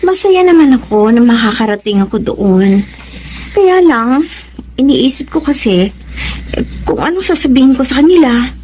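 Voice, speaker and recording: An actress playing a gentle, guilt-ridden, self-sacrificing daughter in a Tagalog radio drama, speaking earnestly with a Filipino accent. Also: female voice, sits high, expressive, moderate pace, long pauses, slight background noise. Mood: troubled, wistful.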